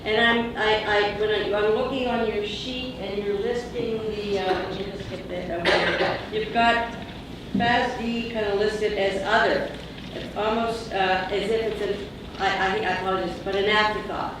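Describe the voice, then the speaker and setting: Assertive Canadian woman in the audience, loud and pointed, far off in a reverberant, noisy hall. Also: mid-range voice, fairly even intonation, measured pace. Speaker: audience member asking a question. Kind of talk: public question at a conference. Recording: echoey hall, from off-mic, noisy.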